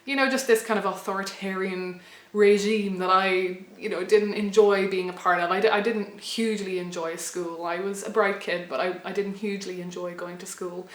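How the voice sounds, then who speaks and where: A woman's voice: mid-range voice, steady tone, normal speed. A young Irish woman telling a personal story to camera in a reflective, rambling way, often restarting her sentences. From Ireland. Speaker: pagan blogger and vlogger. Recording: roomy echo.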